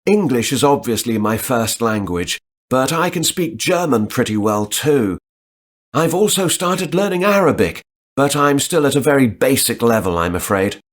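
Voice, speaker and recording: A man playing a relaxed job applicant. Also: medium pitch, moderate pace, close to an everyday voice. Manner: relaxed, thinks aloud while answering. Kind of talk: scripted textbook listening dialogue.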